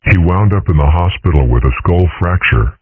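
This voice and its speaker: A man voicing a gloomy, deadpan cartoon dragon father telling a bedtime story in measured, wordy phrases with a husky voice. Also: sits low, no room sound. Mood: measured, bleak. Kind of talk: bedtime story in comic dub. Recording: muffled band-limited audio.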